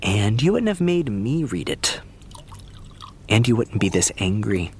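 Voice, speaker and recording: A man's voice: lowish voice, sing-song, measured pace, a little hiss or hum. A man playing an angry, brooding vampire in a dramatic scripted audio fiction scene. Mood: intense, wounded.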